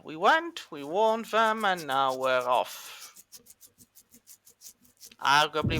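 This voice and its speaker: A man's voice: high-pitched, bright, forceful tone. A man streaming a video game, commenting playfully and reading his character's lines in a theatrical way. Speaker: gaming streamer. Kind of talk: let's play commentary. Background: game music.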